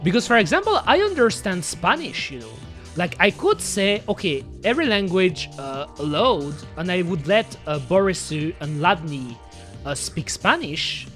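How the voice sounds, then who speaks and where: Animated, rambling VTuber chatting with viewers. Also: mid-range voice, moderate pace, soft background music. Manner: rambling, loses thread, fillers like "uh" and "like". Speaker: VTuber streamer. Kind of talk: casual live-stream chat with viewers.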